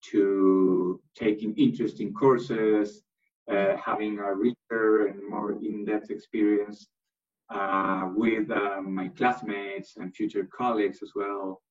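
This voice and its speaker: A young man from Chile talks slowly in a low, level voice, stopping often on fillers and restarts. He sounds a little distant and dull, as if picked up by a lo-fi mic set back from him. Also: flat delivery, soft background music. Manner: hesitant, many fillers and restarts. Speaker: interview guest, MBA graduate. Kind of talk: remote interview answers.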